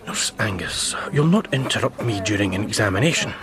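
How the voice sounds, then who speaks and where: An actor as an earnest, animated Scottish doctor. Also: male voice, fairly low pitch, fairly quick, natural voice. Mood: stern, curt. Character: earnest, stern young Scottish hospital doctor. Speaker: actor in a radio drama. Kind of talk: naturalistic radio drama acting.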